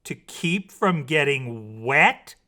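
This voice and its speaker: Guy doing a young mermaid for a bedtime story, slow emphatic words, puzzled by land, pitched way up. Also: animated, sharp tone, full character voice. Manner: halting, simple learner's phrasing, emphatic.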